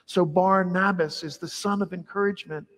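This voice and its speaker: A middle-aged man, a priest, giving a conversational, animated homily at the altar of a large church. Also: sits high, moderate pace. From Ireland heritage. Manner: animated, conversational preaching with wide arm gestures. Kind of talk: sermon. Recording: long church reverb.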